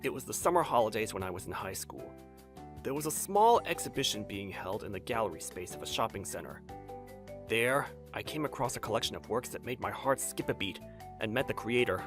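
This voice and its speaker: A man's voice: fairly low pitch, animated, fairly quick, over music, lightly acted. A man voicing a stubborn, earnest young designer who narrates a manga dub and swings from reflective to exasperated. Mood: reflective narration. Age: young adult. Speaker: narrator and lead character. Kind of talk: anime-style manga dub, dramatized first-person narration.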